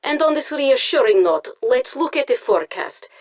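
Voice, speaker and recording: An actress playing a poised Soviet propaganda news anchor in a video game, formal and polished in an official broadcast style. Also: female voice, high voice, very animated, normal speed. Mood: composed, official. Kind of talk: scripted TV news broadcast acting.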